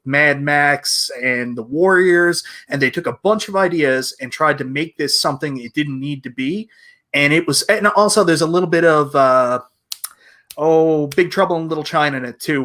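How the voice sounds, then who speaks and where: A man's voice: a little high, sharp tone. A man chatting casually on an online panel, giving blunt, emphatic opinions. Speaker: panelist on an online video game show.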